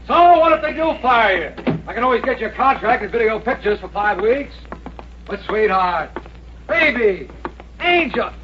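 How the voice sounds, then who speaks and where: High-pitched brash Hollywood agent, old radio. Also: male voice, big pitch swings, normal speed. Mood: slick, coaxing. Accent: American. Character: fast-talking, self-important male Hollywood agent, slick and brash. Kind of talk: old-time American radio drama acting. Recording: dull noisy old radio recording.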